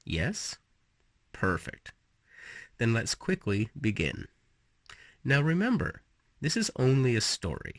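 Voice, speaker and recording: A man leading a guided relaxation meditation with storytelling, speaking slowly and soothingly in an instructive way with pauses. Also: mid-range voice, no room sound, clean studio sound.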